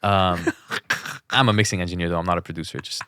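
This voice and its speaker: A man's voice: low-pitched, fairly even intonation, a little fast, bright, forceful tone, clean tone, no room sound, clean studio sound. A man co-hosting a podcast in casual conversation, speaking in a blunt, opinionated way. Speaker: podcast co-host. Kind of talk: casual chat.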